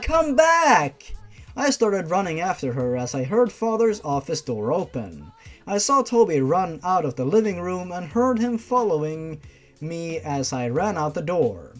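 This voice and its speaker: Expressive storyteller with lively swings in pitch. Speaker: story reader. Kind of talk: first-person narration of fan fiction story. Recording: music behind the voice. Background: music.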